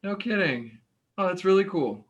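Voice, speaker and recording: An actor playing an awkward, polite young hospital worker who hesitates and stammers in a naturalistic play reading. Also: male voice, sits high, animated, normal speed, dull audio. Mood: friendly, awkward. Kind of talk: naturalistic stage dialogue reading.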